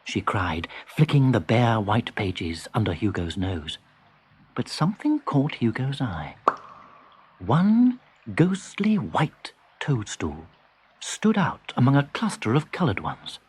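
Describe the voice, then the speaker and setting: A man narrating a children's story in an expressive, dramatic storytelling style. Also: mid-range voice, slow pace, slightly rough.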